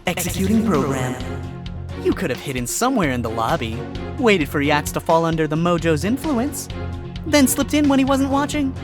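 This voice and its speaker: A sly male fox defense attorney trading dry, sarcastic jabs, put on by a man reading a story. His delivery swings widely in pitch, with music clearly audible beneath. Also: sing-song, normal speed. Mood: smug. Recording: music playing under voice.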